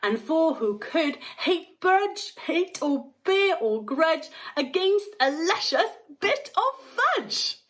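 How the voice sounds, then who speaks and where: A woman's voice: extremely high pitch, big pitch swings, fairly bright tone, voice pushed up, exaggerated voice. A woman voicing tiny, gleeful, moralising storybook folk who chant rhyming verse in a theatrical, emphatic singsong. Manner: emphatic, drawn-out, singsong delivery.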